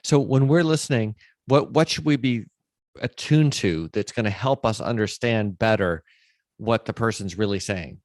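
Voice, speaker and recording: A man's voice: fairly low pitch, normal speed, a little mellow, no room sound, clean studio sound. A man hosting a conversational podcast interview, explaining his thoughts in a reflective way. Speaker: podcast host.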